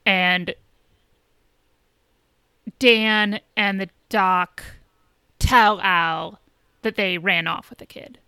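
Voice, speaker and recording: A woman with a bright, forward voice at a medium pitch co-hosts a casual podcast discussion. She explains and rephrases as she goes, recorded dry with no room sound. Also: fairly even intonation, drawn-out words, pauses often, clear, resonant tone, no room sound. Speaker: podcast co-host.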